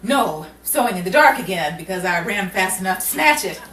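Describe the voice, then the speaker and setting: A woman's voice: mid-range voice, lively intonation, a little fast, a bit bright, natural voice. A woman playing a put-upon 1940s Hollywood woman, wisecracking with dry exasperation in a radio comedy style. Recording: roomy, distant mic with faint noise.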